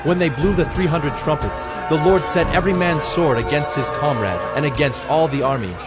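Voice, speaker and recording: A man narrating dramatized scripture in a measured, formal storytelling manner. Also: fairly high pitch, loud music behind the voice.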